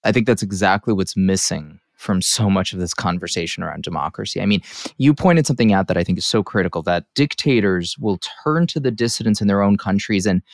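A man's voice: on the low side, no echo, clean studio sound. A man hosting an interview, talking in an earnest, explanatory way and often checking in with his guest. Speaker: interviewer, host. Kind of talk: conversational interview commentary.

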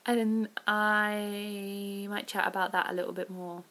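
A woman talks informally on her own as a podcast host, thinking aloud in a reflective way. Her pitch sits in a middle range and moves little. There is a noticeable creak in her voice. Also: level tone, slow, a bit bright. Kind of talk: informal solo chat.

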